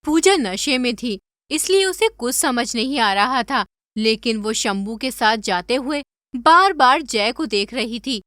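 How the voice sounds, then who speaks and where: High, bright female story narrator. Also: expressive, measured pace, no echo, clean studio sound.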